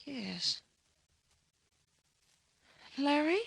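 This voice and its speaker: Anxious, high-voiced radio heroine. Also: female voice, very animated, extremely slow, creaky, no room sound, full character voice. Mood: puzzled, insistent. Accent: Australian. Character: anxious, earnest young woman, the detective's companion. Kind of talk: old-time radio drama acting.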